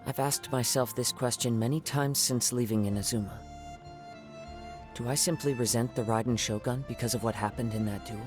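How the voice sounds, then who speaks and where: A man playing a gentle young wandering samurai poet in a video game, soft-spoken, measured and reflective, with a calm, wistful air. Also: mid-range voice, music in the background, close to an everyday voice. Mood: pensive, resolute. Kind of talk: video game character voice lines.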